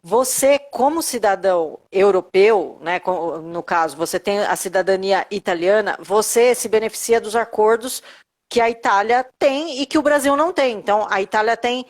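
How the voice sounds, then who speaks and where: A woman's voice: medium pitch, normal speed. A Brazilian woman, a lawyer, explaining things step by step on a live video call, with lots of fillers and tag questions. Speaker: lawyer guest on Italian citizenship.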